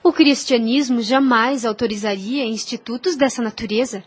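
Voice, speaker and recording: A woman acts out a gentle young lady of deep faith in a religious audio drama. Her voice sits fairly high, and she explains things with earnest, reverent care. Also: moderate pace, slightly rough. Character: gentle, devout young Spanish woman, earnest teacher of scripture.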